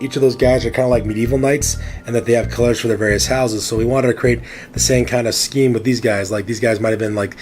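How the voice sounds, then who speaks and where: A man hosting a miniatures hobby show, with a raspy voice from a cold, addressing viewers in a showman style and chatting casually with many fillers. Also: on the low side, darkish tone. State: hoarse from a cold. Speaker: host of a miniatures hobby channel. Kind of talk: casual hobby showcase presenting.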